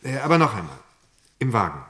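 An actor playing a sober, pragmatic male defence lawyer in a German crime radio play, measured, frank and probing. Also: mid-range voice, very animated, sharp tone. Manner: measured, matter-of-fact, questioning.

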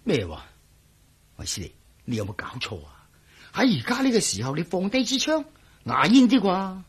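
An actor playing a worried, blustery police officer in a radio drama, speaking Hong Kong Cantonese with emphatic, sighing delivery. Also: male voice, sits high, huge pitch swings, moderate pace, breathy edge, exaggerated voice. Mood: tense, defiant.

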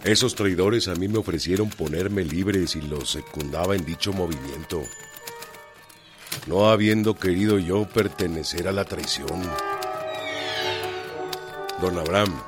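A proud, blunt revolutionary with a Mexican accent. The voice is very low and declamatory, in radio drama style, with music clearly underneath. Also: male voice.

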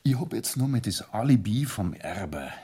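A deliberate Bavarian village sergeant in a radio play. His voice is low and husky, moving up and down a lot as he reports hesitantly. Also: very animated, warm tone. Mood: careful reporting. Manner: deliberate, matter-of-fact. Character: hesitant, plodding male village police sergeant. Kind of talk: radio drama acting.

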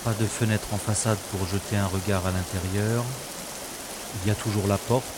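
A man's voice: fairly low pitch, steady tone, moderate pace, very noisy, natural voice. A man playing a wisecracking male secret agent in a French science-fiction audio drama, with dry, ironic delivery. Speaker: lead character in an audio drama. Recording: rain and water sound effects behind.

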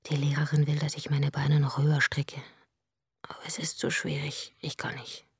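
A bitter, grieving woman, husky and low. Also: completely flat delivery, moderate pace, dry recording, clean studio sound, heavily acted. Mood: wry. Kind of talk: radio drama monologue.

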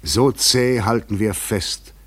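An actor playing an overwrought, weary fugitive in a German radio play, speaking in an articulate, insistent, rhetorical manner. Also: male voice, fairly low pitch, fairly even intonation, slow, fairly bright tone. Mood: musing. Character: overwrought, weary, probing male fugitive intruder.

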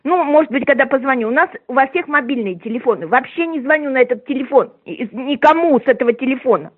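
A woman's voice: high voice, animated, fast, slightly rough. A woman in her sixties on a phone call, scolding and insistent, repeating herself. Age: 60s. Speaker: prank call target. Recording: muffled phone line.

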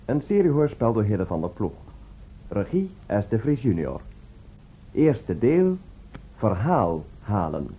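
A male radio announcer reads formally and slowly in a fairly low, animated voice. The recording is very thin and phone-like. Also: slightly rough. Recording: very band-limited, phone-like old radio recording.

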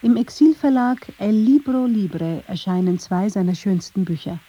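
A woman's voice: mid-range voice, lively intonation, normal speed, warm tone, husky, lo-fi sound. A woman reading scripted narration for a radio documentary.

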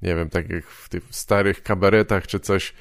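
A man's voice: low voice, fairly even intonation, moderate pace, no room sound, studio quality. A man hosting a podcast in a casual, tentative way, hedging and trailing off into short tag questions. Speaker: podcast host. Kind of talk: casual conversational podcast talk.

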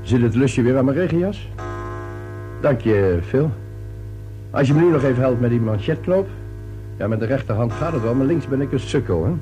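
Gruff, animated middle-aged father, played by an actor. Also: mid-range voice, moderate pace, long pauses, faint music behind, close to an everyday voice. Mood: fussy, grumbling. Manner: gruff, impatient, blustering. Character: irritable, defensive middle-aged father and businessman. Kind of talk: radio play acting. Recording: noisy old radio play recording.

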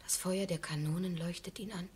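A dreamy young woman played by an actress in a seventies radio drama, rather low in pitch and softly intense. She is quietly defiant and hushed, over a faintly noisy recording. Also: fairly even intonation, a little fast, lightly acted. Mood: entranced whisper. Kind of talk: 1970s German radio play acting.

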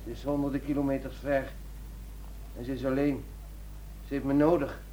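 An actor playing a distraught, confused middle-aged husband in a radio drama, pleading and fixated on the past. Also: male voice, medium pitch, flat delivery, moderate pace, warm tone, a little hiss or hum, natural voice, rough recording. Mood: fixated, withdrawn.

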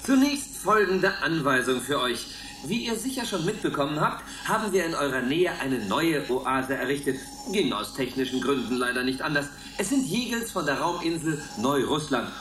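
A man's voice: fairly high pitch, animated, moderate pace, fairly bright tone, reverberant, slight background noise, poor audio. An actor playing a patronizing male overseer in a radio play, falsely jovial and officious. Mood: smugly cheerful. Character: chirpy, patronizing male overseer of confined elderly people.

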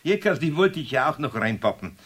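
An actor playing a good-natured, middle-aged craftsman uncle with a Bavarian accent, homely and husky, in a children's radio play. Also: fairly high pitch, very animated, a little fast, a bit bright, heavily acted.

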